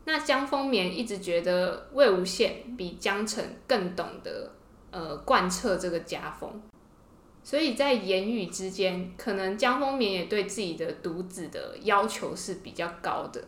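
A woman's voice: mid-range voice, lively intonation, a little slow, fairly bright tone. Echoey-room Taiwanese woman chatting expressively. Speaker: podcast co-host. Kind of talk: casual panel chat about fiction. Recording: roomy, echoey sound.

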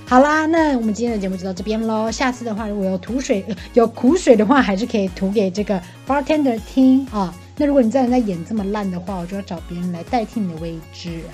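A woman's voice: medium pitch, fast, hardly any pauses, mellow, soft background music. A woman with a Taiwanese Mandarin accent co-hosting a podcast in casual, teasing banter with jokey swearing. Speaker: podcast co-host.

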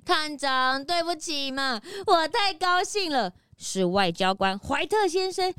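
A woman playing an eager, excitable young detective's assistant in a children's audio drama, exclamatory and inquisitive. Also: high voice, a little slow, no room sound, studio quality. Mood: thrilled.

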